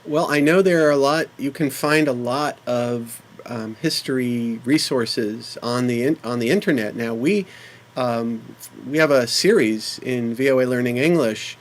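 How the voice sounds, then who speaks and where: A man's voice: mid-range voice, unhurried. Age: adult. Sex: man. Role: conversation-show host. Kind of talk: casual chat.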